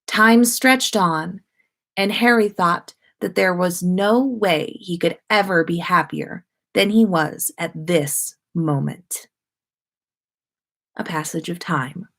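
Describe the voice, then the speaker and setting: A woman narrates a story aloud. Her voice sits a little low for a woman, and she reads slowly and expressively, as a story-time host would. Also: creaky, a bit bright. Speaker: host reading listeners' stories on a livestream.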